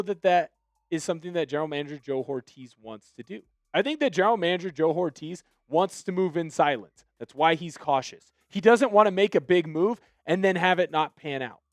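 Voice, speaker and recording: A man hosting a solo sports news video, giving opinionated commentary that now and then bursts into animated excitement. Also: high voice, no room sound, clean studio sound.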